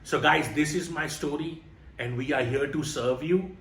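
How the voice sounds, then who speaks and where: A man's voice: a little high, normal speed, creaky, fairly bright tone. Earnest man in his late thirties from India. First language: Indian languages. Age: 30s. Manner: earnest, emphatic, repeats phrases. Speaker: podcast co-host. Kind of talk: motivational podcast talk. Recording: roomy, distant mic with faint noise.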